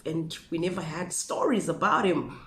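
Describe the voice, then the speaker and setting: A woman in her thirties with a Zimbabwean accent, hoarse from a flu, telling a true crime story in a chatty way with asides and rhetorical questions, filmed indoors at a desk. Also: low voice, lively intonation, moderate pace, fairly bright tone. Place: small room indoors. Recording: echoey room.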